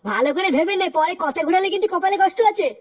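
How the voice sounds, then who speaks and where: A performer voicing a grumpy village husband and father in a comedy cartoon, sarcastic and scolding, with a pitched-up effect. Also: very fast, distant mic. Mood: skeptical. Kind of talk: exaggerated comic cartoon dialogue.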